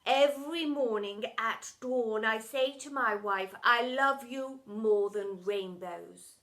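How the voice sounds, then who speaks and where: A soppy, sentimental father speaks slowly and earnestly, as a woman puts him on. His tone stays bright. He lingers over each loving phrase. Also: on the high side. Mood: tender. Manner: slow, earnest sweet-talk.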